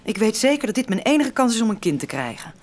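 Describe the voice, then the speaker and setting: A woman playing a tender yet resolute young lover in a radio drama, with an earnest, expressive delivery. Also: a little high, fast talker. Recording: faint background ambience.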